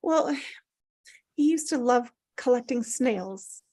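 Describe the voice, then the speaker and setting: A woman reading the part of a grieving, anxious mother in a drama table read, halting, apologetic and gently insistent. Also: a little high, expressive, heavily acted. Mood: wistful. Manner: hesitant, halting.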